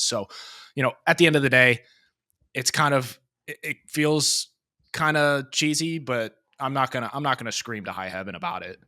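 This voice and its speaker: A man co-hosting a sports podcast who gives his opinions in a measured way, hedging with fillers. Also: mid-range voice, sharp tone, dry recording, studio quality. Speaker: podcast co-host. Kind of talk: casual sports podcast chat.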